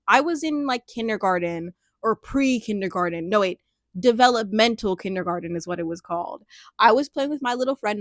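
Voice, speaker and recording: A young adult woman speaking candidly in a reflective personal monologue, thoughtful and searching, with frequent "like" fillers and soft laughs. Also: mid-range voice, no room sound, clean studio sound. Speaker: personal storyteller.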